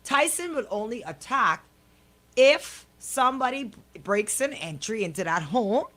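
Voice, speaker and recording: A woman's voice: fairly high pitch, expressive, unhurried, pushed and bright. Caribbean woman chatting on radio. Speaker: radio show host. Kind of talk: casual on-air chat.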